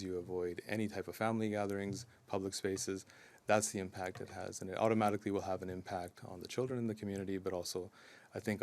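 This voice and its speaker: Low, flat-voiced man. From Canada. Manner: earnest, steady, with frequent fillers. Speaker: community advocate at a news conference. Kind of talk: press conference statement. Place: news conference room.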